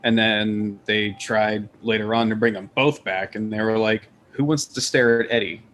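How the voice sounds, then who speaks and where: A man's voice: fairly low pitch, steady tone, normal speed, a bit bright, a little hiss or hum. A man chatting casually as a podcast panelist, rambling and opinionated, with some casual swearing. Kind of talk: casual group chat.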